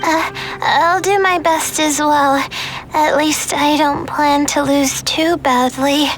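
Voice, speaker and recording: A shy, nervous schoolgirl from a video game. Her voice is extremely high, and she talks slowly and haltingly in a meek, unsure way over light music. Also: fairly even intonation, poor audio. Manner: hesitant, stammering, meek. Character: timid, anxious, sleep-deprived young female student. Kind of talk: scripted video game character acting.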